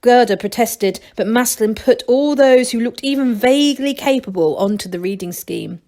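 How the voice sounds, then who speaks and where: A woman's voice: a little high, expressive, normal speed, dark tone. A woman storyteller reading a children's book aloud.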